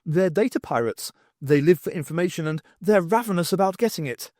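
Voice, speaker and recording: A man's voice: high voice, animated, brisk, a little mellow, no room sound, studio quality, voice pushed up. A man voicing an anxious, aghast male professor who explains a dreaded threat in an audiobook. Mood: alarmed.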